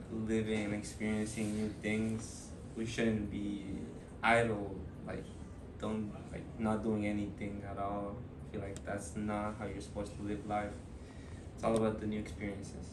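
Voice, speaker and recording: A young man in his early twenties from Ecuador, answering interview questions in a thoughtful, hesitant way with many pauses and fillers. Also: on the low side, echoey room, distant mic, background noise. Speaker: student interviewee.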